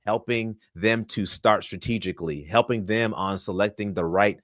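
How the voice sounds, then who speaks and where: Low-voiced man, polished guest. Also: moderate pace, a bit bright, no room sound, clean studio sound. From Florida, USA. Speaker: podcast guest. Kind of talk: conversational interview answers.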